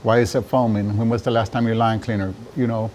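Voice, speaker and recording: A man from Mexico giving casual interview answers about his trade, often halting as he searches for words. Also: lowish voice, little pitch movement, a little mellow, slight background noise. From Juarez, Mexico. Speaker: interviewed draft beer system installer and business owner.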